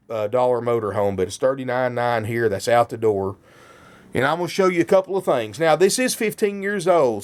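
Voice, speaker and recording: A man giving a folksy sales walkthrough of a motorhome while getting over a cold. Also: mid-range voice. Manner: folksy, keeps saying "folks". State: has a cold. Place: inside a motorhome.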